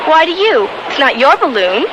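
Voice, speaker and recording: High-voiced wry teenage girl. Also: very animated, dull audio. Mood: probing. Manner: dry, questioning, quick retorts. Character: blind sixteen-year-old girl, wry and sharp. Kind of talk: radio drama acting. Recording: noisy old radio drama recording.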